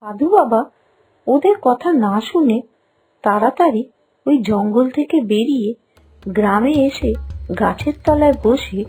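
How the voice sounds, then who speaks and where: A woman's voice: a little high, expressive, a little slow, pauses often, a little mellow, husky, rough recording. A woman narrating a scripted children's story, with music behind her voice. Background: background music.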